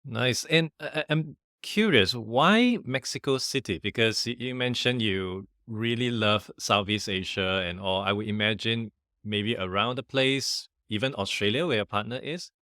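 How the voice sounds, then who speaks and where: A male podcast host who opens with a scripted introduction and then asks friendly, curious questions. His voice sits fairly low and is recorded dry and close. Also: moderate pace, bright, forceful tone, no echo.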